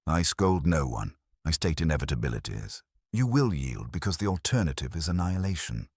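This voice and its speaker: A male narrator plays an imperious, heavyset older detective from an audiobook mystery. The voice is very low and a little husky, recorded dry. He is formal, measured and sure of his authority. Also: sing-song, a little mellow, no echo, full character voice. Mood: stern, authoritative.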